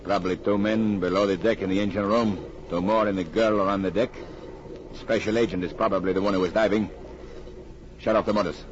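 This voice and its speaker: A cold, methodical smuggling boss acted by a man in a vintage radio adventure. He gives menacing, deliberate orders in a low voice on a muffled, noisy recording. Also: fairly even intonation, close to an everyday voice. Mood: calculating. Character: cold, methodical male gunrunner ringleader. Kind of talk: 1940s radio villain acting.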